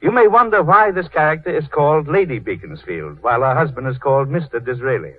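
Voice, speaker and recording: A man's voice: on the high side, expressive, fast talker. A man presenting and narrating a 1930s radio drama in a stately, formal, scripted style. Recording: tinny, husky 1930s radio recording.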